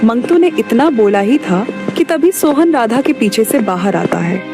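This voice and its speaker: A woman's voice: fairly high pitch, lively intonation, moderate pace, over music. A woman narrator reading a scripted moral story for a cartoon.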